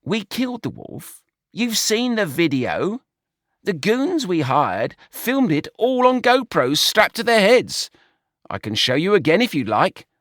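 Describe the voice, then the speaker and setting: A man's voice: sits high, very animated, a little slow, a bit bright, no echo, voice pushed up, full character voice. A man doing a curt, fussy pig financier. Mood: dry. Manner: dry, curt, snorting. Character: dry, fussy male pig financier, germ-phobic.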